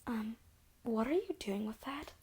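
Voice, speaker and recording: A young woman voicing a fragile girlfriend with asthma in an audio roleplay, talking softly in a half-whispered, pleading way. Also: a little high, animated, unhurried, breathy edge, exaggerated voice. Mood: worried pleading. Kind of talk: audio roleplay, spoken to the listener.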